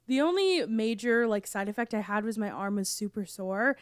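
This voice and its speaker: A young woman co-hosting a podcast in casual chat, her voice hoarse and lower from a cold, rambling with many fillers and restarts. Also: animated, moderate pace, no echo, clean studio sound. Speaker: podcast co-host.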